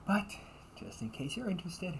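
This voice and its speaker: A man's voice: high voice, big pitch swings, normal speed, creaky, slight background noise, heavily acted, low-quality recording. A man acting the part of a young butler cafe waiter in an audio roleplay, with formal, courteous service talk.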